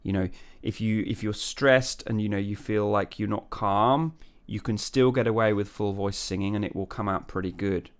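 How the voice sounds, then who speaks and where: A male voice coach with a low, smooth, resonant speaking voice. He explains singing technique loosely, thinking aloud with lots of ums and restarts. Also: normal speed, hardly any pauses. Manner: relaxed, thinking aloud with many fillers and restarts. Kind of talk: singing lesson with sung falsetto demonstrations.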